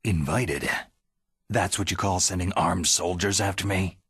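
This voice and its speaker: Very husky, low-voiced gruff soldier. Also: male voice, steady tone, measured pace, fairly bright tone, no room sound, heavily acted. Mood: defiant. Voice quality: very husky, gravelly rasp. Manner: terse, skeptical, dry. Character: gruff, defiant retired special-forces soldier on a covert mission. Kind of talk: dramatic video game cutscene acting.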